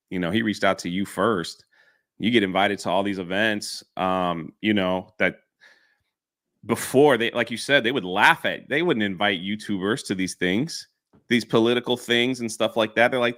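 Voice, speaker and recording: A man co-hosting a live stream in a relaxed, easygoing way, peppering his talk with bro and man. His tone is bright and forward, and the sound is close and dry. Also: on the low side, no room sound. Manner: laid-back, says bro and man a lot. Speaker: co-host. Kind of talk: casual live-stream chat.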